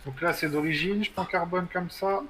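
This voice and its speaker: A man's voice: sits high, fairly even intonation, moderate pace, crowd noise. A man roleplaying an obliging mechanic in a game, talking politely like customer service.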